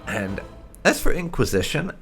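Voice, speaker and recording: Male gaming host, gravelly and unhurried, casual intro commentary with faint music behind. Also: a little high, big pitch swings, fairly bright tone.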